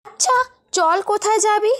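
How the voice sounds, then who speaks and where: A woman's voice: very high voice, moderate pace, a bit bright, pitched above the performer's own voice. A woman voicing a kind, caring young girl in a cartoon folk tale. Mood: gentle. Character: kind, generous young girl who feeds birds.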